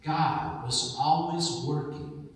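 An American man in his sixties preaching a sermon as a pastor, with measured, deliberate phrasing and pauses. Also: on the high side. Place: large church sanctuary, indoors at the altar. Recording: echoey church hall, distant microphone.

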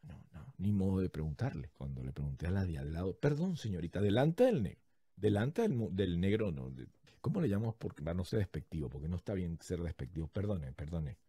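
A man in his thirties with a Venezuelan accent, hosting a podcast and telling a story in a lively, jokey way, recorded on a studio mic in a small home studio. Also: deep voice, a little fast, slightly rough, no echo. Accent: Venezuelan Spanish. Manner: lively, jokey, acts out the people in his story with big gestures. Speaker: podcast host. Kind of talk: animated storytelling monologue. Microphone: large studio condenser mic, headphones.

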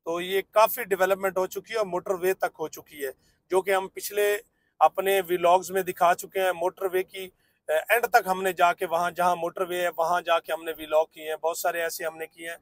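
A man's voice: high-pitched, level tone, moderate pace, dry recording. A man giving a fluent, salesy narrated site tour from inside a moving car. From Pakistan. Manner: fluent salesman patter with filler phrases. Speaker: real estate vlogger.

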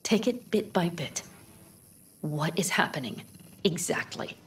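A woman's voice: fairly low pitch, expressive, a little slow, a little hiss or hum. A woman playing a blunt, loyal, caring young nomad in a video game. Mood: concerned. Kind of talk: video game dialogue acting.

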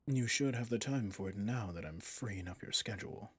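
A man playing a possessive villain boss in an intimate ASMR roleplay, speaking formally and commandingly yet indulgently, in a husky, breathy voice. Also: fairly low pitch, normal speed. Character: smooth possessive male villain boss, doting on favorite servant.

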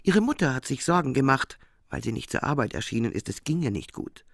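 A man's voice: mid-range voice, big pitch swings, brisk, vocal fry, no echo, clean studio sound. A man playing a sober, sceptical police officer with a clipped, report-like delivery in a German crime radio play.

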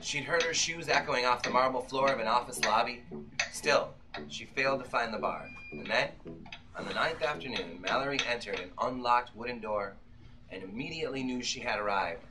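A middle-aged man giving a tense, deliberate dramatic reading of fiction, like an old-time radio play. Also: mid-range voice, noisy background. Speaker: author reading from his own novel. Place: small bookshop with seated audience, indoors. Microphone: audience member's camera from a few metres. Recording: music behind, live room camera recording. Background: violin accompaniment.

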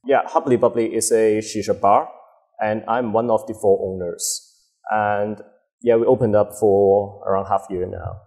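A young man in a fairly low voice. He answers at an unhurried, halting pace, full of fillers and restarts, recorded close on a lapel mic. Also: fairly even intonation, dark tone. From Hong Kong. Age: 20s. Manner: hesitant, many fillers and restarts. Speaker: guest, bar co-owner. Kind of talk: casual interview answers. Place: dim bar set with a host.

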